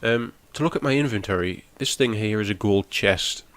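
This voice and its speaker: A man's voice: lowish voice, normal speed, fairly bright tone. A young man with a cold, sounding nasal and snotty as he casually walks through a game with plenty of ums. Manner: rambling, explains as he goes, frequent ums. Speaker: gaming channel host. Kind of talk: casual gameplay walkthrough.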